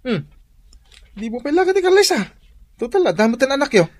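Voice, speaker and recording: Lively, chummy male buddy. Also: very high-pitched, a little fast, no room sound. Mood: teasing, encouraging. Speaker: radio drama actor. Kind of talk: radio drama acting.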